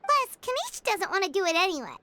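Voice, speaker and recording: A woman voicing a small, excitable, childlike video game companion who is earnest and exclamatory. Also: very high voice, fairly quick, a little hiss or hum, full character voice. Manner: exclamatory, stammering when flustered. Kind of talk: animated video game character acting.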